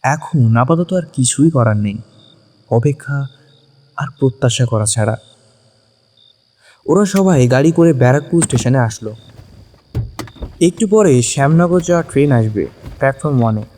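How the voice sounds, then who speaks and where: A man's voice: medium pitch, animated, moderate pace, pauses often, mellow. A man narrating a scripted suspense story dramatically in Bengali. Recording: long reverberant hall echo.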